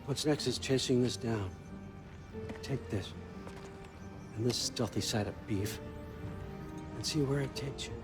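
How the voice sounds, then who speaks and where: An actor playing a scheming old god and patriarch in a video game, talking in a casual, persuasive, wry way. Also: male voice, medium pitch, measured pace, long pauses, natural voice. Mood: brisk, sly. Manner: casual, persuasive, wryly conversational. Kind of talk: cinematic video game acting. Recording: loud music behind the voice. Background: music.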